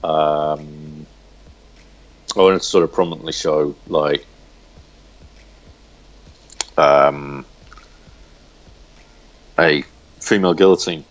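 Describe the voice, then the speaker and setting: Deep-voiced man talking haltingly, dull recording. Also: fairly even intonation. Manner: hesitant, halting, searching for words. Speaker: interview guest, comic book writer. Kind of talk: casual interview chat.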